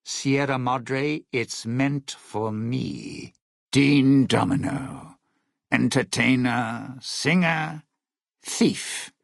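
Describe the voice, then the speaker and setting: An actor playing a smug, suspicious old lounge singer and thief in a video game, with a raspy, gravelly voice and a sardonic showman's delivery. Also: low voice, dry recording, clean studio sound, heavily acted. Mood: defiant, cutting. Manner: sardonic, slangy showman's patter. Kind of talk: in-game character dialogue and epilogue narration.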